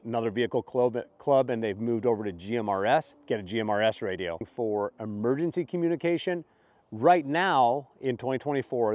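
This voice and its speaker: A man's voice: medium pitch, a little mellow, dry recording. A man answering questions as a product expert, conversational and matter-of-fact, thinking aloud as he explains. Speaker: radio shop expert answering viewer questions. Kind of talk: informal Q&A explainer.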